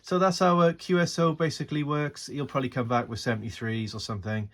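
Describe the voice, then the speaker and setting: A man's voice: mid-range voice, steady tone, fast talker. A man giving a casual, unscripted tutorial as a radio hobbyist, thinking aloud in an informal, hesitant way into a phone. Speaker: amateur radio hobbyist making a tutorial video. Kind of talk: casual unscripted screen and gear walkthrough. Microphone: handheld phone filming the setup. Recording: noisy amateur phone recording.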